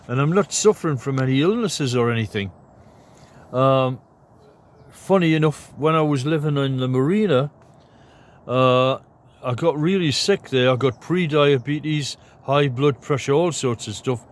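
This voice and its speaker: An older man in his seventies chats to his vlog audience from inside a roomy cabin. His talk is loose and rambling, full of ums and the odd throat clear, at an ordinary middle pitch. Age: 70s. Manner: rambling, chatty, many fillers and throat clearing. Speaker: vlogger. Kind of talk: casual vlog talk to camera. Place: inside a large wooden cabin.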